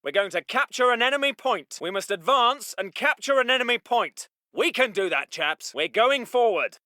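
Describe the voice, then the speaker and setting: British WWII squad medic for a war game: very high, sharp, animated voice, genteel, chipper and clipped. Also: male voice, moderate pace, no echo, studio quality, exaggerated voice. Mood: calm encouraging. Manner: clipped, old-fashioned genteel officer-like phrasing. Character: polite, plucky British WWII army medic, brisk and chipper. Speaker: game voice actor. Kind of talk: military video game unit voice lines.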